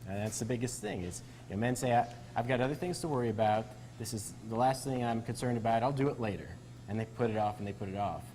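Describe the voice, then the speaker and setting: A man's voice: mid-range voice, quick pace, few pauses, a little mellow, slight background noise, low-quality recording. A man, a physician guest on a TV interview, explaining things earnestly with some ums and uhs. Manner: earnest, explanatory, many fillers. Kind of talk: TV interview answers. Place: barbershop on location.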